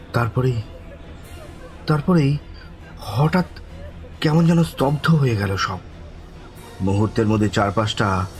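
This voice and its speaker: Bewildered man narrating ghostly horror. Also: fairly low pitch. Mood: tense, suspenseful. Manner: halting, breathy repetitions, suspenseful, pleading in dialogue. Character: bewildered, frightened male narrator of village ghost story. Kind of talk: dramatic first-person horror audio story narration.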